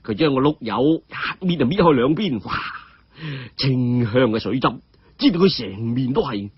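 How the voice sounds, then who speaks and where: A man's voice: mid-range voice, animated, normal speed, breathy edge, dry recording, muffled recording. A man narrating a story dramatically.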